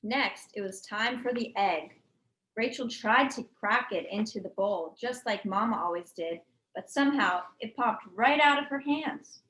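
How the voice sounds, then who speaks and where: A woman reading a children's picture book aloud with expressive storybook narration. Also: a little high, unhurried. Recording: echoey room.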